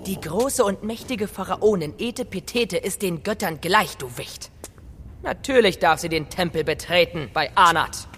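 An actor playing a pompous, zealous Egyptian priest in a children's audio drama, commanding and haughty. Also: male voice, high voice, normal speed, a bit bright, slightly rough, long reverb tail.

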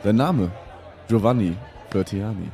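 A man's voice: fairly low pitch, measured pace, gravelly, warm tone, soft background music, a faint crowd. A man narrating a comedy audio play in a mock-dramatic style.

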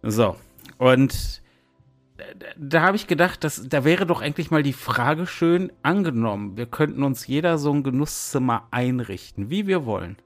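Guy co-hosting a podcast, mid-range voice, relaxed chat, faint music. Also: mid-range voice, moderate pace. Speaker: podcast co-host.

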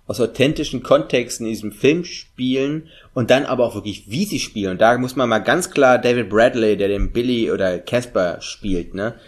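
A man's voice: mid-range voice, moderate pace, hardly any pauses. A man in his thirties, a native German speaker, co-hosting a casual film podcast, talking in a chatty way with many fillers and tag questions. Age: 30s. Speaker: podcast co-host. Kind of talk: casual film review chat.

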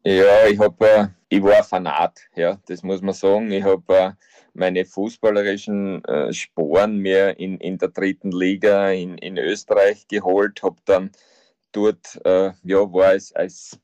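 A man in his fifties with an Austrian accent talks as a podcast guest, pausing often with fillers and repeated words. His voice is low and dark and stays quite flat in pitch. The recording is dry and somewhat dull, with a lo-fi sound. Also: dry recording. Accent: Austrian German. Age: 50s. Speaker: football coach, podcast guest. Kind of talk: casual interview talk.

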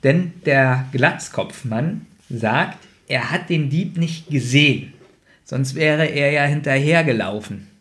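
A man's voice: mid-range voice, slow pace, fairly bright tone. A man in a casual review chat, co-hosting a podcast and making points emphatically, often repeating them. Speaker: podcast co-host.

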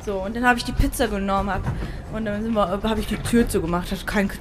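A young woman co-hosting a casual podcast chat, telling stories in an animated way, laughing often and swearing now and then. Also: medium pitch, quick pace. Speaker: podcast co-host. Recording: clear background noise.

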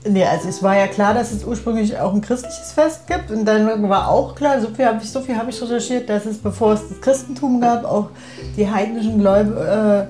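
A woman's voice: mid-range voice, brisk, warm tone, faint music behind. A woman in a casual, spontaneous chat, thinking aloud as she goes and laughing easily. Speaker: conversation partner in a private audio piece.

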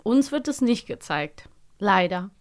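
A woman's voice: mid-range voice, sing-song, a little slow. A woman chatting casually as a podcast co-host, with a playful, teasing manner. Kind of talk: casual chat.

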